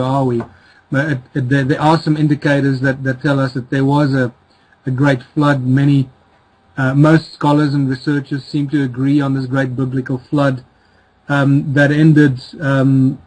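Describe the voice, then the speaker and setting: A man's voice: a little high, husky, low-quality recording. A middle-aged man from the Czech Republic, raised in South Africa, speaking as an interview guest in a rambling way with many restarts. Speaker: guest author. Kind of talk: casual interview talk.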